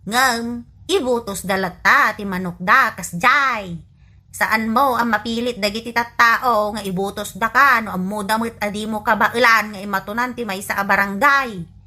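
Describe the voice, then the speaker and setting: An actress playing a blunt, exasperated village wife who nags sarcastically, in an Ilocano radio comedy drama with an Ilocano Filipino accent. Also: female voice, mid-range voice, steady tone, normal speed, pushed and bright. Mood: nagging, mocking.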